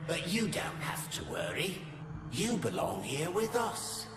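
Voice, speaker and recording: An actor playing a doomed wandering spirit in a fantasy game, gravelly, grim and theatrical, warning trespassers. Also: male voice, fairly high pitch, wildly swooping pitch, a little slow, roomy sound, over loud music. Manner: ominous, theatrical. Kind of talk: dramatic fantasy video game acting.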